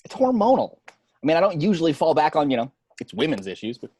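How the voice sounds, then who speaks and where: Woman as a wry office guy, lively pitch. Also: fast, slightly rough, exaggerated voice. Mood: exasperated griping. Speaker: table read host and actor. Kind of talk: amateur screenplay table read. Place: at home, remote table read.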